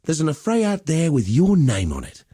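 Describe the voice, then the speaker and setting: An actor playing a roguish, cheerful veteran pit fighter in a video game, talking in a colloquial, wry, swaggering storyteller's way. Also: male voice, a little high, huge pitch swings, normal speed, mellow, slightly rough, no echo, clean studio sound, full character voice. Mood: jovial, inviting. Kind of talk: scripted game dialogue.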